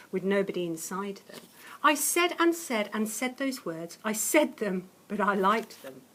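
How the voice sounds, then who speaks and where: A woman reading a children's picture book aloud as a teacher would, expressively and dramatically, with hushed suspense and swells of emphasis. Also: fairly high pitch. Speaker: primary school teacher reading a story to children.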